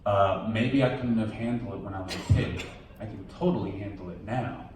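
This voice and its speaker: Casual low-voiced man in an echoey hall. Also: normal speed, recorded from a distance, noisy background. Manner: candid, casual, quotes himself. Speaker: documentary subject. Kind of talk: premiere Q&A answers. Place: theater at a film premiere.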